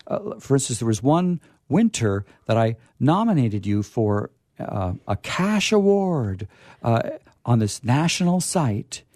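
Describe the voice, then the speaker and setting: A man hosting a casual on-air interview in a chatty, halting, wordy way, with playfully grandiose touches. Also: mid-range voice, sing-song, a little mellow, no echo, clean studio sound. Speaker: radio host. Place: radio studio.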